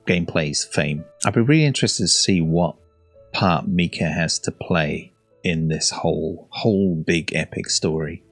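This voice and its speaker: A man's voice: deep voice, no echo. A man hosting a casual, rambling reaction to game character trailers. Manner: rambling, easygoing, chuckling.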